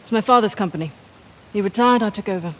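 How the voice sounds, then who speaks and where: A woman's voice: mid-range voice, quick pace, close to an everyday voice. A woman playing a tough, guarded mercenary leader in a video game, speaking tersely and directly. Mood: cool, guarded. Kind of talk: naturalistic video game cutscene acting.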